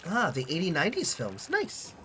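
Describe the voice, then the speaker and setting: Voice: medium pitch, wildly swooping pitch, moderate pace, background noise. A streamer with a stuffy, sniffly nose, chatting casually and rambling while playing a game. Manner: chatty, rambling, trailing off mid-sentence. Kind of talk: casual gaming chat.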